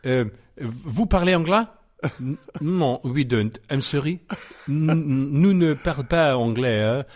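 Man doing a proud Frenchman, French accent, halting and lofty, slow. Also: medium pitch, lively intonation, a little mellow. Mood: haughty. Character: haughty Frenchman refusing to speak English.

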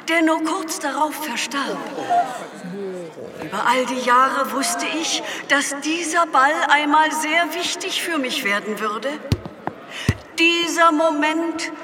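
A grave president of an alien planet in a sci-fi audio comedy. Her delivery is slow, stately and full of pathos, pitched high. Long reverb and noisy ambience surround her as she rallies her citizens. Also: a crowd in the background. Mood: solemn, stirring. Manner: stately, emphatic, pathos-laden oratory.

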